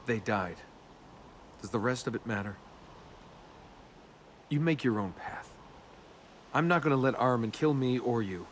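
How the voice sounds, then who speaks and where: A man's voice: medium pitch, animated, a little slow, pauses often, lightly acted. A man playing a cynical, roguish young adventurer in a video game, speaking in a casual, guarded, wry way. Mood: bitter. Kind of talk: naturalistic video game dialogue. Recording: game ambience noise behind voice.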